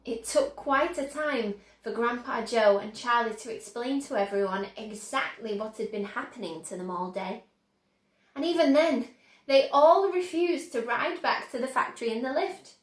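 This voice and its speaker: A woman reading a children's story aloud with expressive storybook narration. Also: on the high side, normal speed. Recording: roomy, echoing indoor sound.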